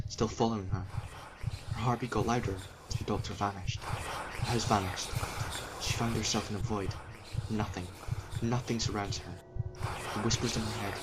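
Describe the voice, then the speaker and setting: Man, low pitch, dramatic narrator, scripted audio story. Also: heavy background noise.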